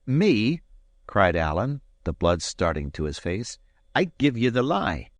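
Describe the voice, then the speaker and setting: A man reading aloud and voicing a proud, hot-tempered Highland swordsman, touchy and boastful. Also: expressive, a bit bright, no echo. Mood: indignant. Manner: touchy, boastful, quick to anger.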